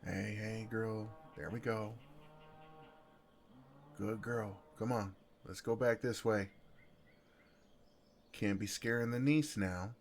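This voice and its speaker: A man with a Southern US accent playing a gentle, flirtatious farmhand in an intimate ASMR roleplay, with an easygoing, folksy, soothing drawl. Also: fairly low pitch, steady tone, slow pace, long pauses, soft background music. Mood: calm, soothing.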